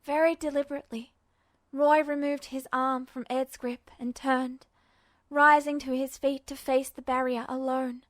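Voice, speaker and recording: Female story narrator, high voice, dramatic fiction reading, dry close sound. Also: level tone, measured pace, no room sound.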